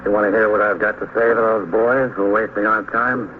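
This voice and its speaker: An actor playing a steady, polite police detective in a 1950s radio drama, dry and businesslike. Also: male voice, fairly low pitch, fairly quick, natural voice. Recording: muffled, noisy narrow-band old radio recording.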